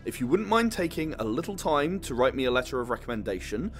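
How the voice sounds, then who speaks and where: Guy acting cocky young science student man, slightly low voice, very expressive, scornful, audio drama. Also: fast talker, faint music behind, lightly acted. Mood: controlled indignation. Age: young adult. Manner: scornful, insistent. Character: arrogant, callous young male science student with a temper. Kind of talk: full-cast sci-fi audio drama.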